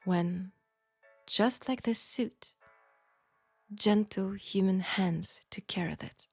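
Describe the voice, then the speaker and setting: A woman with a French accent playing a frank, perceptive seamstress in an intimate steampunk audio drama, speaking softly and deliberately with pauses. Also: mid-range voice, no echo, faint music behind. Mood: pointed, critical. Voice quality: soft, breathy. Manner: hushed, deliberate, with pauses and breathy sighs. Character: frank, perceptive young Parisian seamstress, gently reproachful. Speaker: audio roleplay performer.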